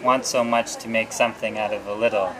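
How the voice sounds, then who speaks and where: A man's voice: fairly low pitch, fairly even intonation, low-quality recording. Male interviewer amid a chattering crowd. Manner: reflective, halting, searching for words. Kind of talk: conversational interview. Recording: busy crowd noise behind.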